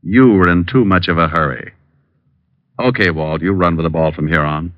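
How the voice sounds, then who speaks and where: A man's voice: low-pitched, moderate pace, no room sound, close to an everyday voice. A man playing a cool wisecracking radio private eye. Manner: dry, wisecracking, coolly interrogating. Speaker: private detective Richard Diamond. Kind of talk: 1940s radio acting. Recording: dull lo-fi old radio recording.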